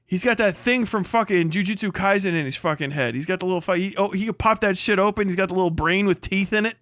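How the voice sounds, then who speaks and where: A man with a high, bright, forceful voice, recorded close and dry. He hosts a livestream, riffing crudely on what he watches with heavy swearing and an exclamatory, mocking delivery. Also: fast talker, dry recording. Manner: often breaks into laughter at own riffs. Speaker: livestream host. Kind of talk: mocking, profane reaction commentary on a video.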